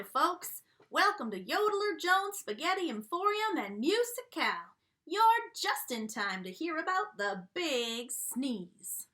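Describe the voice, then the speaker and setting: A woman's voice: sits high, bright, forceful tone, heavily acted. A woman voicing a folksy, cheerful male dog who runs a restaurant, telling his tale theatrically in a children's read-aloud. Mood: welcoming, folksy. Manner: theatrical, exclamatory, down-home country talk. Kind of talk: expressive children's read-aloud with sung refrains.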